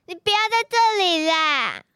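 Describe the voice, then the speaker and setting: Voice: very high voice, big pitch swings, a little slow, bright, forceful tone, dry recording, clean studio sound, full character voice. A child acting in a children's radio drama as a clingy, gullible little brother, eager and childlike. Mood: teasing.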